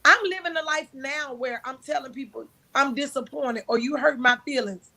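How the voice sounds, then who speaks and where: Loud, bright-voiced woman in her forties. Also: sits high, normal speed, creaky. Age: 40s. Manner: loud, big voice; emphatic, preaching tone. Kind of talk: candid conversational talk.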